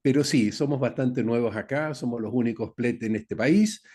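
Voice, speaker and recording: A man's voice: medium pitch, normal speed, darkish tone. An older man with a Chilean accent, a guest in a radio interview, talking in a rambling way with many fillers. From Santiago, Chile. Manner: rambling, with frequent fillers and "¿no es cierto?". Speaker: guest engineer. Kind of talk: conversational interview.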